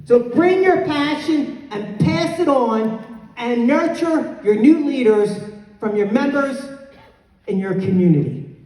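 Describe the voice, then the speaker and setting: Loud animated woman in an echoey hall. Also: high voice, slow. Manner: loud, animated, moves the mic away to yell. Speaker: conference speaker. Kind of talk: motivational conference address. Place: large hotel conference hall. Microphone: handheld mic, filmed from far off. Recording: echoey hall, recorded from far off.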